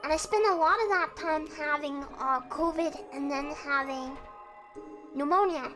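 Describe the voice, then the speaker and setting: A woman with a girlish, slightly croaky voice chatting casually on a livestream in a playful, self-deprecating way. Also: measured pace. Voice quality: high, girlish voice. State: slightly croaky and sniffly from singing. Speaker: livestreamer hosting a chat and karaoke stream. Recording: music behind the voice. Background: music.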